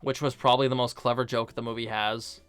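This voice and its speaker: Male film review host: medium-pitched, casual scripted narration, dry asides, soft music bed. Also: flat delivery, quick pace.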